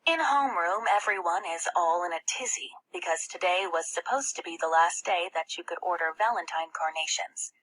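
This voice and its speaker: A woman reading a children's novel aloud in the first person, as an expressive audiobook narrator. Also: lowish voice, poor audio. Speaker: audiobook reader.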